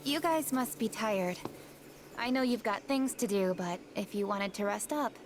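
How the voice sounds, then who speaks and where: A woman's voice: mid-range voice, moderate pace, over music, lightly acted. A woman voicing a young village guard captain in a video game, businesslike but welcoming. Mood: friendly.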